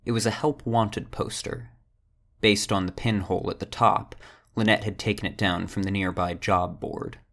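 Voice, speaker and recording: A storyteller narrating a scripted fantasy audio drama. The voice is low and notably creaky. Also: fairly even intonation, normal speed, fairly bright tone.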